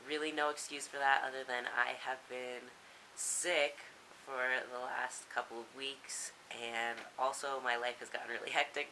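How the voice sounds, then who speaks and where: A man's voice: mid-range voice, bright, forceful tone, slight background noise, low-quality recording. A young American man giving a casual, candid video diary update in an informal way. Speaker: vlogger.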